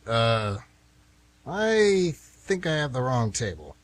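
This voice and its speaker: A man playing a vain, cocky date who speaks in a halting, flustered way, in amateur radio theatre. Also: medium pitch, sing-song. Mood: flustered, dismissive.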